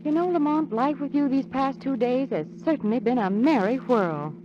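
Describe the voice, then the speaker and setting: A woman's voice: high-pitched, normal speed, close to an everyday voice. Woman playing a wry sleuth's companion, muffled recording. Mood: wry, curious. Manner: dryly witty, genteel. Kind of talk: old-time radio mystery acting. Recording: muffled, noisy old radio recording.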